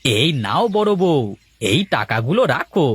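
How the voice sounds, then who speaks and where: A man acts out an obliging village potter in a cartoon. His voice is fairly high, husky and dry, and he talks in a mild, concerned, deferential way. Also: big pitch swings, unhurried, no echo, heavily acted. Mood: gentle, agreeable. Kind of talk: cartoon voice acting.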